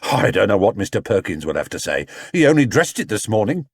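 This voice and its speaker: A man voicing a stern, officious, grim store detective in a children's storybook, speaking deliberately. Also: lowish voice, sing-song, breathy edge, no echo, studio quality, heavily acted. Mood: spluttering.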